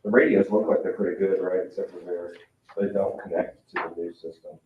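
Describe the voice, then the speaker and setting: A man making an informal request at a public meeting, casual and halting in his delivery. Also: low voice, little pitch movement. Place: indoor public meeting room. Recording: echoey room, distant mic, dull, faint noise.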